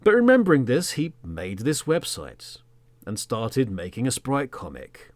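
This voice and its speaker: An Englishman in his thirties, a podcast host telling a story in the third person as a monologue, theatrically, with emphatic words and phrases that trail off into a hush. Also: mid-range voice, huge pitch swings, unhurried, no echo.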